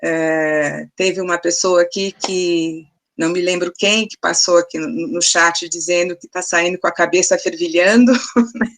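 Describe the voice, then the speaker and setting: A woman's voice: lowish voice, steady tone, measured pace. Woman closing a seminar, friendly. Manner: friendly, tag questions. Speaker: seminar mediator. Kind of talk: closing remarks on a video call. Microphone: video call.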